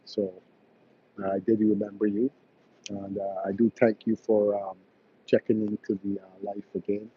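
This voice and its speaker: Husky, hesitant man on a live stream. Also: fairly low pitch, completely flat delivery, normal speed, muffled recording. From Barbados. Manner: hesitant, many fillers and restarts. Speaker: electrician hosting a live stream. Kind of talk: casual live-stream chat reading viewer comments.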